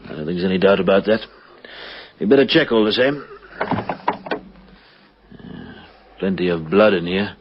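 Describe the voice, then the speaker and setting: An actor playing an experienced police sergeant in a radio drama, measured, matter-of-fact and authoritative. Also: male voice, sits low, husky. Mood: grim, matter-of-fact. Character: experienced, wary male police patrol sergeant. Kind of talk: vintage radio drama acting. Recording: dull old radio recording, faint noise.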